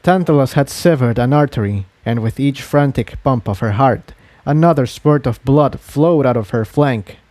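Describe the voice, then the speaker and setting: A man's voice: medium pitch, normal speed, few pauses, mellow, no echo. A man reading an adventure story aloud as a scripted dramatic narration. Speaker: fan fiction reader and narrator.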